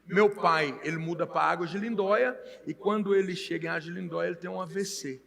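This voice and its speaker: Gravelly, bright-voiced Minas Gerais man in his forties. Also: sits high, fast talker, in a large hall. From Minas Gerais, Brazil. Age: 40s. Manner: folksy, jokey, animated storytelling. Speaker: pastor and guest. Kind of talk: casual storytelling interview.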